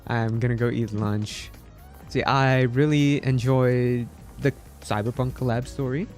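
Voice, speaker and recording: A man from the Philippines chatting casually on a livestream, halting and repeating his words, polite and appreciative. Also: medium pitch, steady tone, darkish tone, faint music behind. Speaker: game streamer. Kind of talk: casual livestream chat with viewers.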